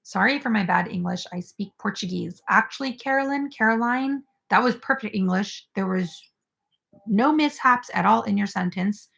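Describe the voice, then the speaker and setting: A woman's voice: mid-range voice, moderate pace, vocal fry, fairly bright tone. A young woman hosting a casual crochet live stream, chatting with viewers and filled with "like" and "um". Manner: chatty, filler-heavy, reads viewer comments aloud.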